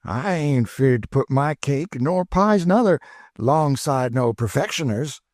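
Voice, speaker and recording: A man's voice: mid-range voice, expressive, unhurried, darkish tone, no echo, clean studio sound, pitched above the performer's own voice, natural voice. A man voicing an eager, chatty older woman cook with an in a storybook reading. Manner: exclaiming, folksy, eager.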